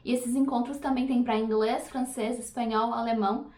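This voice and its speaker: A woman's voice: fairly high pitch, fairly even intonation, moderate pace, a little mellow. A young adult woman, casually explaining her study apps step by step as host. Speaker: language-learning host. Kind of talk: casual screen-share tutorial.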